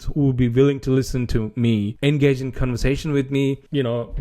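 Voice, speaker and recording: A man's voice: mid-range voice, mellow. A young man from Sri Lanka with a Sri Lankan accent, whose first language is Sinhala, talking casually to camera in a vlog, often restarting his sentences. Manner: hesitant, many restarts and fillers. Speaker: gaming channel creator.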